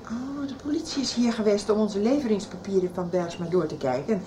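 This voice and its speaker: An actress playing a brisk, questioning woman in a nineties radio soap. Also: medium pitch, mellow, slight background noise, natural voice. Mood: matter-of-fact. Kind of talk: 1990s radio soap acting.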